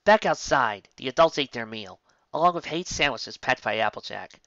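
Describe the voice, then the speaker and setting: A man's voice: mid-range voice, animated, normal speed, gravelly, pushed and bright, no echo. A man narrating a fan fiction story expressively, stumbling over words now and then, on a dull lo-fi recording.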